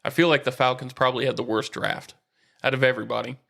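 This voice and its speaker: A man's voice: medium pitch, little pitch movement, fast talker, creaky, fairly bright tone. Casual American man talking sports. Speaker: sports podcast co-host.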